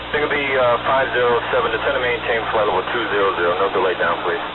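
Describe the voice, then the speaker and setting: A man speaks as an air traffic controller in brisk, businesslike radio phrasing. His voice is slightly low and the words come very quickly. The sound is narrow and tinny, like a radio transmission, with heavy noise over it. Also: steady tone. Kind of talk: rapid clipped radio instructions. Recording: noisy narrowband aviation radio recording. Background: radio static and noise.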